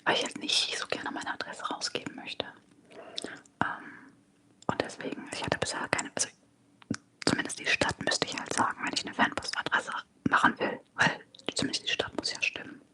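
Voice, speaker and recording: An ASMR streamer from Germany, talking in a soft, unvoiced whisper with many fillers during a live stream at home. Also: fairly quick. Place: apartment at home. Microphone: close microphone.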